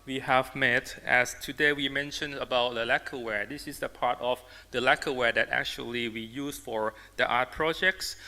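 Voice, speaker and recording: A man from Thailand with a Thai accent, a native Thai speaker, giving a symposium talk as an artist and designer in halting, emphatic English phrases. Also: mid-range voice, sharp tone. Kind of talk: public presentation in non-native English. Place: large indoor symposium hall. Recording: reverberant hall, amplified talk.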